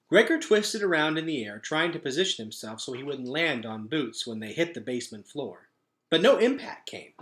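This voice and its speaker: Guy reading a kids novel out loud, super expressive n animated, bright voice. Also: mid-range voice. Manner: dramatic, expressive storytelling. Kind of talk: animated children's book narration.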